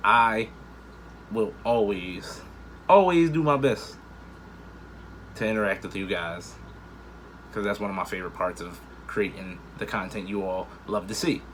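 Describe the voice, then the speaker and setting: A man's voice: fairly low pitch, a little slow, long pauses, fairly bright tone, a little hiss or hum, poor audio. Upbeat man hosting a reaction video. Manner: upbeat, slangy, talks straight to viewers. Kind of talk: casual direct-to-camera chat.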